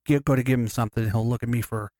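A man's voice: mid-range voice, steady tone, very fast, warm tone, no room sound, clean studio sound. Rambling, profane man. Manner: rambling, profane, many restarts. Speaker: podcast co-host. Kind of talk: casual chat.

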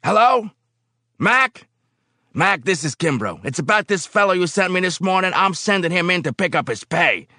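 A man voicing a gruff, hot-tempered middle-aged paint-plant foreman who yells and blusters in exasperation, in an audiobook reading. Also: on the high side, lively intonation, a little fast, no echo, studio quality, pitched above the performer's own voice. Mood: shouting.

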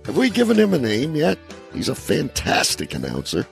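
A man's voice: medium pitch, huge pitch swings, moderate pace, vocal fry, soft background music. A man hosting a casual radio talk show in a rambling, joking way.

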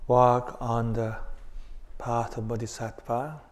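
A man leading a guided meditation, speaking in short phrases with long pauses. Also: mid-range voice, monotone.

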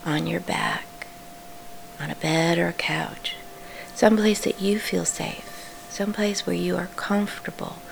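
Low, creaky, whispery woman guiding meditation. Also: low-quality recording. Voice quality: breathy, often whispery. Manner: gentle, reassuring instruction. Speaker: meditation guide. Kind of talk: guided sleep meditation, hushed and unhurried. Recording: clearly audible background hum.

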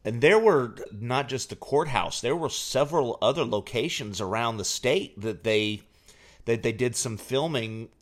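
A man's voice: mid-range voice, expressive, unhurried. A man working as a radio interviewer, speaking in a casual, conversational style.

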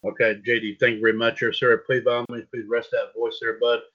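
A man's voice: mid-range voice, level tone, fairly quick, lo-fi sound. A man hosting a casual wrestling talk show, rambling and repeating words and filler as he talks. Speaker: podcast host.

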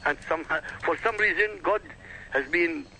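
A man's voice: a little high, a little slow, bright, forceful tone, slight background noise, rough recording. A man whose first language is Arabic, arguing insistently on a radio talk show and lecturing with emphatic repetition. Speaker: guest.

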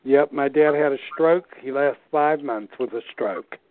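A man's voice: mid-range voice, unhurried. A man in his sixties, a plainspoken storyteller who repeats himself in casual chat. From Pittsburgh area. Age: 60s. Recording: dry, phone-like lo-fi sound.

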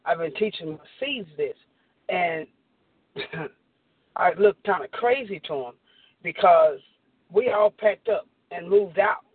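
A woman caller with a deep, masculine-sounding voice, talking candidly about her family life. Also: expressive, slow, long pauses, slightly rough, no room sound. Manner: candid, personal storytelling. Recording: dry tinny phone line.

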